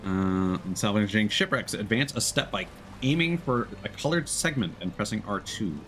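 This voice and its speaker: A man's voice: on the low side, animated, moderate pace, a bit bright, faint music behind. A man chatting casually as he livestreams a game, drifting between his own thoughts and greetings to viewers. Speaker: livestreamer, VTuber. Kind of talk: casual livestream chat over gameplay.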